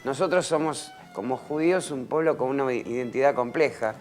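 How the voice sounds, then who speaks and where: A man speaking in an interview, explaining his ideas in an articulate, even way. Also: mid-range voice, normal speed, faint music behind. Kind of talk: reflective interview talk.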